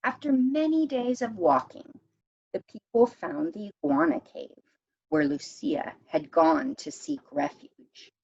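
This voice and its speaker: A woman teacher reading a story aloud expressively, with deliberate dramatic pauses and hushed phrases. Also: on the high side, big pitch swings, creaky, husky, dull audio.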